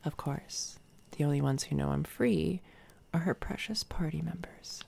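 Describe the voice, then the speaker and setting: Smug evil-twin villainess, played by a woman, ASMR roleplay: soft, whispery, taunting, dark-edged brightness kept low, heavy vocal fry. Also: slight background noise. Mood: quietly threatening. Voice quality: soft, breathy, often slipping into whisper. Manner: taunting, condescending, unhurried. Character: smug, menacing villainess doppelganger from another timeline. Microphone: close binaural microphone.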